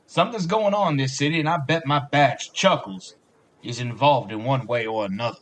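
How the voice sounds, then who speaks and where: A man's voice: medium pitch, a little fast, fairly bright tone, slight background noise, lightly acted. An actor playing a blunt but caring, fatherly police sergeant in an audio drama, folksy in his talk. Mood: insistent, concerned. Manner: folksy, blunt, calls younger men kid and bub.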